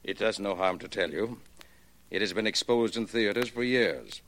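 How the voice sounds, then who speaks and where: An actor playing a proud, wary old illusion builder in a 1940s radio mystery, speaking formally and guardedly. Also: male voice, fairly low pitch, animated, moderate pace, fairly bright tone, slight background noise. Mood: guarded, explaining. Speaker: actor in a supporting part.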